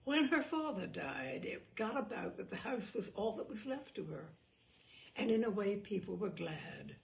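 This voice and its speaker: A woman reads a literary story aloud in a voice with plenty of gravel and creak. Her pitch sits in the middle range for a woman. The phrasing is measured and dramatic, shaped like an expressive audiobook narration.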